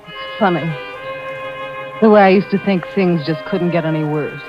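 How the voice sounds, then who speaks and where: An anxious, suspicious wife played by a radio actress speaks in the confiding, probing way of 1940s mystery drama. The old recording is muffled, with loud music in it. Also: female voice, fairly low pitch, normal speed, natural voice. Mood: brooding, confiding. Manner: confiding narration, probing questions in dialogue. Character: anxious, suspicious wife of a broke resort owner. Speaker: lead actress and first-person narrator.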